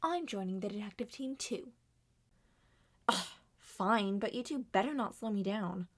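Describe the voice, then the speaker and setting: A woman voicing many characters in an ASMR roleplay, speaking softly and often dropping to a whisper. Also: mid-range voice, lively intonation, a little slow. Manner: soft-spoken, drifts between whispers and normal voice.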